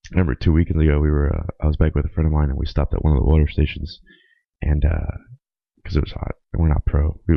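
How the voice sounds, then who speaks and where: Very deep, gravelly raspy man. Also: dry recording, dull audio. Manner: rambling, with many ums and uhs. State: hoarse from a cold. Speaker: podcast host. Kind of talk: casual solo podcast monologue.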